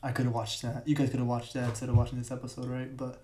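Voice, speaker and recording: A young man hosting his own podcast, his voice raspy today, talking casually with many fillers and restarts, recorded in a big room. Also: mid-range voice, little pitch movement, rapid-fire, dark tone. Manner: rambling, filler-heavy, self-correcting. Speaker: podcast host. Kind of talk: casual solo podcast talk. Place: large echoey room. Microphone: podcast mic.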